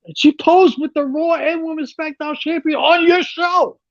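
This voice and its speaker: A man's voice: extremely high pitch, steady tone, normal speed, no echo, highs cut off. A man co-hosting a casual wrestling podcast, rambling and sarcastic, with frequent restarts. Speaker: podcast co-host.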